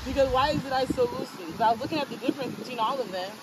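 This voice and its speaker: A woman chatting quickly and casually with a friend. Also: high-pitched, very noisy. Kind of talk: casual chat.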